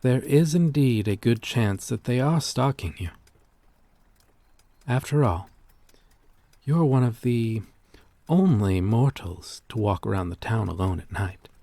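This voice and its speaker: Man acting a slow, courteous immortal blood creature. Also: mid-range voice, lively intonation, no echo. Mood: concerned, confiding. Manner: gentle, formal, old-fashioned phrasing, measured. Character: courteous, melancholy male blood-creature, vampire-like, protective and intimate. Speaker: roleplay voice performer. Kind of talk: ASMR roleplay acting.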